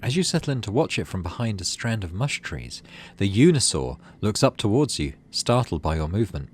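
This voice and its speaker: A man's voice: on the low side, very animated, brisk, a little hiss or hum. A man doing let's play commentary on a game, reading narration aloud and thinking through choices in tentative asides. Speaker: gaming video host.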